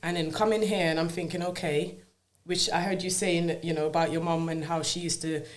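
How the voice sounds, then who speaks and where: A woman from Jamaica speaks as a podcast guest, her voice sitting fairly low. She tells her story earnestly and reflectively on a studio mic, often pausing to ask whether she is understood. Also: steady tone. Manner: earnest and reflective, often asks "you know what I mean". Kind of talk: personal life story in a casual interview.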